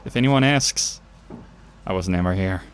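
A man's voice: deep voice, moderate pace, a little hiss or hum. A young man from Denmark with a Danish accent, chatting through a game with jokey, self-mocking asides at his home setup. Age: young adult. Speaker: gaming YouTuber. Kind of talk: let's play commentary. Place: home gaming setup, indoors.

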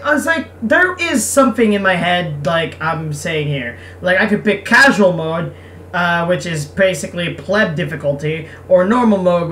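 A woman giving casual game commentary and reading game text aloud, speaking haltingly and repeating words. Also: fairly low pitch, fairly bright tone. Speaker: game streamer. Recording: noisy, distant microphone.

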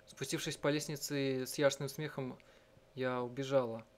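Low-voiced man narrating a game. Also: little pitch movement. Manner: halting, self-correcting delivery. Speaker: game streamer and live translator. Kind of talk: let's-play narration, reading and translating aloud. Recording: game music under the voice. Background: game music.

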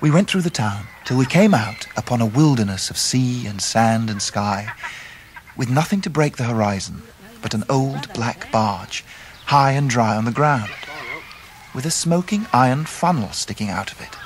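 A man plays a gentleman looking back on his boyhood in a radio dramatisation. His is measured and literate, at medium pitch. Faint noise sits behind the narration. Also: animated, lightly acted. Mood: reminiscent. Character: grown gentleman fondly recalling his Victorian boyhood.

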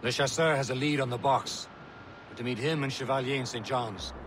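An actor playing a sober, loyal ship's first mate in a video game, speaking plainly and gravely. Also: male voice, medium pitch, fairly even intonation, fairly quick, natural voice. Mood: grave, patient. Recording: noisy game audio, ship ambience behind.

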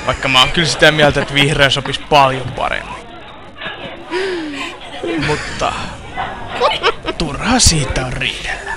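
A man's voice: medium pitch, fairly even intonation, pauses often, close to an everyday voice. A man playing a teasing, easygoing young shipmate in an anime parody dub, talking casually. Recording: loud crowd and background noise.

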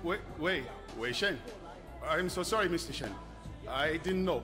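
A man's voice: a little high, animated, unhurried, music in the background. A man playing an edgy, self-important businessman in a video game. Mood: nervous, deferential. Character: haughty businessman who turns nervously deferential, male. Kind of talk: video game character acting.

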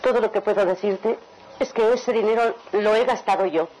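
A woman's voice: medium pitch, moderate pace, darkish tone, slight background noise, lightly acted, rough recording. An actress playing an anxious, protective wife and mother in an emotional, pleading radio melodrama style. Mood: uneasy. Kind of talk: melodramatic radio drama acting.

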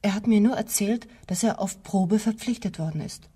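A woman's voice: mid-range voice, moderate pace, mellow, husky, close to an everyday voice. An actress playing a defensive, anxious married woman in a German crime radio play, guarded and evasive in her answers.

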